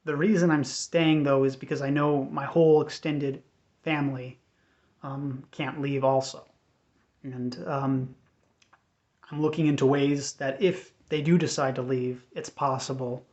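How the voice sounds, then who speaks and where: An American man giving an unscripted personal update in a measured, earnest, hesitant way. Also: mid-range voice, level tone, a little mellow. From United States. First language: English. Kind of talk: unscripted personal talk to camera. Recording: dull, lo-fi sound.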